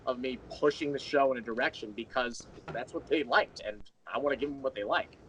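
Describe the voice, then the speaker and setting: A man's voice: mid-range voice, fairly quick, a bit bright, slight background noise, poor audio. A man speaking as a writer and interview guest, chatting casually and explaining at length with enthusiasm. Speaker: interview guest, audio drama writer and creator.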